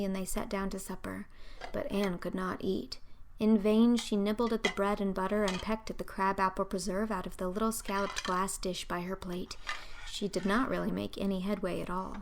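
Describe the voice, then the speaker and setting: A woman's voice: mid-range voice, flat delivery, moderate pace, vocal fry, a little hiss or hum. A woman reading scripted narration as the narrator of a storybook audiobook.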